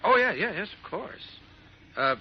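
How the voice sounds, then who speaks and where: A man's voice: high voice, very animated, normal speed. Flustered newsman, stammering, very varied intonation, old radio drama, faint noise. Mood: suspicious. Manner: stammering, hesitant. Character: mild, flustered newspaper reporter hiding his suspicions. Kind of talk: 1940s radio acting. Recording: muffled, hissy old radio recording.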